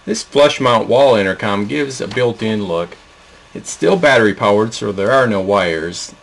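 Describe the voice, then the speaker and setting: Low-pitched man explaining a product. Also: expressive, unhurried, gravelly. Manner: plain, explanatory. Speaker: product presenter. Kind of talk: instructional product demo narration.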